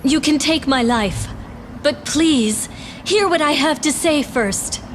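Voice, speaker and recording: Earnest, higher-voiced young princess. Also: female voice, takes it slowly, a little hiss or hum. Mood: pleading. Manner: formal, pleading, solemn. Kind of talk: dramatic video game voice acting.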